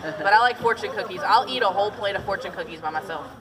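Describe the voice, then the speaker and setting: A woman's voice: mid-range voice, quick pace, crowd noise. Woman, chatty tour guide, joking and giggly, casual storytelling, recorded from a distance, people around. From Detroit, Michigan; raised partly in Tennessee. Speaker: cave boat tour guide. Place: boat on an underground lake in a cave. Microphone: passenger's camera at some distance.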